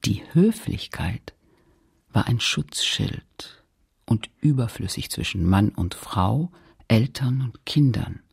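A man with a raspy, gravelly voice reading sober literary narration in a radio play. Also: mid-range voice, expressive, slow pace, full character voice.

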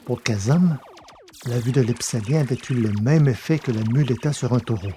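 A man reads a science-fiction adventure as an audiobook narrator. His voice is mellow and medium in pitch, with soft music behind it. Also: normal speed.

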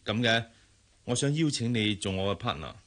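A man's voice: fairly low pitch, animated, normal speed, a bit bright. A man acting in a Cantonese radio drama with a Hong Kong accent, playing a polite married boss who is awkwardly flirtatious. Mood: hesitant, flirting. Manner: hesitant, polite.

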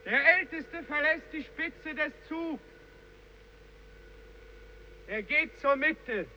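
A man's voice: extremely high pitch, lively intonation, slow pace, exaggerated voice. An actor playing a young fisherman in a 1950s German radio drama, solemnly announcing a march in stark short reports. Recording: muffled, noisy vintage radio recording.

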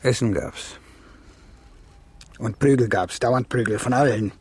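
An actor in a German radio play playing a proud, defensive, troubled middle-aged father with a rough, raspy voice. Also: medium pitch, a little slow, a little mellow, no room sound. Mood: bitter.

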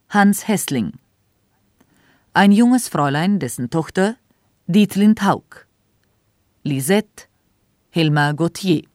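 A woman radio announcer reading the credits of a radio play in a measured, formal way. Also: lowish voice, a little mellow, dry recording, clean studio sound.

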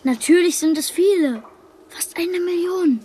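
A woman playing a solemn young child narrator in a radio drama, hushed and matter-of-fact. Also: very high voice, unhurried. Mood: hushed, earnest.

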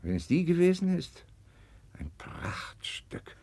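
An actor playing a flippant, lecherous court official in a 1960s German radio play, casual and offhand. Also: male voice, sits high, very animated, slow, darkish tone, dry recording, full character voice. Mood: flippant, leering.

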